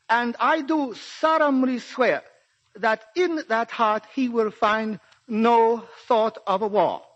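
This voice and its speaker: A Japanese diplomat in a 1940s radio play. The voice is strikingly high and moves very slowly, with smooth ceremony and solemn formality. The old broadcast sounds dull and muffled. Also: male voice, a bit bright, heavily acted. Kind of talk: 1940s radio drama acting, formal speech.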